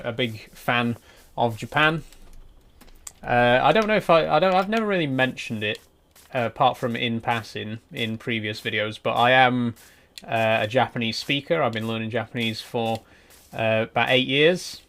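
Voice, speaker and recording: A man's voice: mid-range voice, normal speed. A man in his twenties streaming a building game, chatting casually and answering viewers' messages as he plays. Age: 20s. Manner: relaxed, rambling, answers chat messages. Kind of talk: casual live-stream chat while playing.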